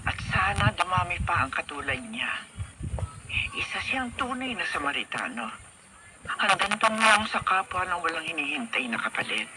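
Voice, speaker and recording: A woman's voice: on the low side, very animated, normal speed, very noisy. An actress with a Filipino accent playing a proud, devout, middle-aged mother, full of gratitude, in a radio drama. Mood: grateful, reverent. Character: proud, devout, grateful mother of a grown daughter. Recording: loud music behind radio drama.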